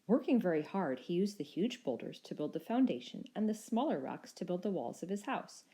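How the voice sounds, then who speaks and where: A woman storyteller narrating a children's story in an expressive, scripted style. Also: lowish voice, brisk, slightly rough.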